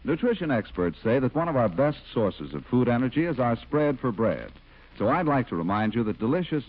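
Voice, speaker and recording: A man announcing and narrating a scripted 1940s radio programme. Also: medium pitch. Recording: dull vintage 1940s radio recording.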